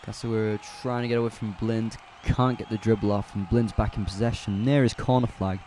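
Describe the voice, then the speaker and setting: A man with a lowish, mellow voice gives casual live play-by-play of a football match for a fan stream. He talks in a relaxed, chatty way, correcting names and answering viewers' questions as he goes. A faint hiss of noise sits behind him. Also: fairly quick. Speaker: match commentator on a fan watch-along stream.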